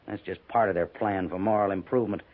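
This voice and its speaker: An American man playing a resigned army major and post commander, measured and a little defensive, in 1950s radio drama. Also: low-pitched, steady tone, natural voice. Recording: tinny, narrow-band old radio recording.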